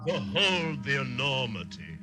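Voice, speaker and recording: Declaiming philosopher, 1940s radio drama, drawn out and sweeping, music behind. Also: male voice, medium pitch, very animated, unhurried. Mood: awed, grave. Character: grandiose male philosopher gazing at the stars. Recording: old radio broadcast with music.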